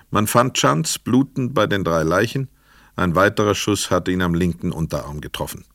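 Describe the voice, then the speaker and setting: Crime drama narrator: man, very low voice, close dry sound, measured and sober literary reading. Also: fairly bright tone, no room sound. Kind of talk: literary scripted narration of a crime radio play.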